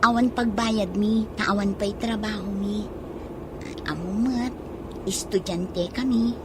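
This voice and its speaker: A woman's voice: mid-range voice, fairly even intonation, slow, background noise, natural voice. A woman with an Ilocano accent playing a bold, scheming young college girl in a radio comedy drama. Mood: innocently polite.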